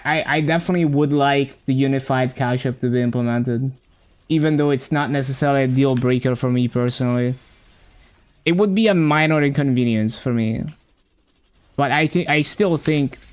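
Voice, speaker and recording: An Italian streamer whose first language is Italian chats loosely over a game stream. The English accent is a patchwork picked up from watching online content. The delivery rambles, with frequent "like" and stumbling restarts, at an ordinary middle pitch. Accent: mixed, self-taught English accent. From Italy. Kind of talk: casual live-stream chat while gaming.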